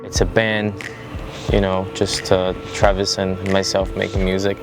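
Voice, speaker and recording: A deep-voiced man from Brazil speaks as a musician in a casual interview. His delivery is informal and a bit rambling, with sentences that trail off. Music is clearly audible underneath him. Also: completely flat delivery, measured pace. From Brazil, half French. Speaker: musician and producer in a band.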